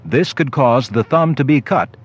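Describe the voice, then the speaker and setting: A man's voice: medium pitch, animated, normal speed, slightly rough. A man reading scripted instructional narration for a training film. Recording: dull lo-fi old videotape with noise.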